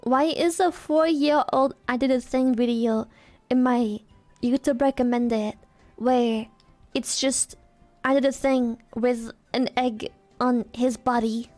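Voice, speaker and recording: A woman's voice: a little high, fairly even intonation, slow pace, a little mellow, soft background music. A woman streamer chatting casually with her viewers, giggly and playful, her throat sore and dry. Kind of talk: casual live-stream chat.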